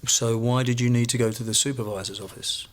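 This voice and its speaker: An actor playing a safety investigator, questioning in a measured, formal way in a naturalistic training film drama. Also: male voice, medium pitch, fairly even intonation, close to an everyday voice. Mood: probing.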